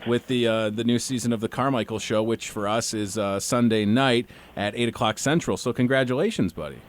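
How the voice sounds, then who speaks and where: A man's voice: medium pitch, brisk. Chatty man in his thirties interviewing. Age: 30s. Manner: friendly, chatty, stumbles over his questions. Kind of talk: casual interview.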